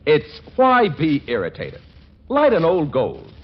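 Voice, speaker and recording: A male radio announcer from the 1940s with a fairly high, very animated voice. He delivers sponsor pitches with comic bluster. The recording is dull and lo-fi. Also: slow pace, breathy edge. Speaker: commercial announcer.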